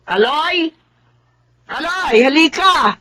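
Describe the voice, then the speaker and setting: A woman's voice: sits high, slow, full character voice. A Filipino woman playing a kindly, fussing middle-aged aunt in a radio drama. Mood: cheerful, welcoming. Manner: motherly, expressive.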